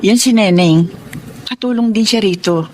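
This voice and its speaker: A woman's voice: medium pitch, moderate pace, a little mellow, a little hiss or hum, close to an everyday voice. A woman playing a kindly, proper lady of the house in a radio drama, gracious, with a Filipino accent.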